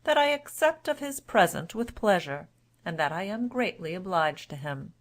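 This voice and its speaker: A woman's voice: on the low side, big pitch swings, normal speed. A woman voicing a gracious king in a storybook, with a formal, regal manner. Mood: pleased, gracious.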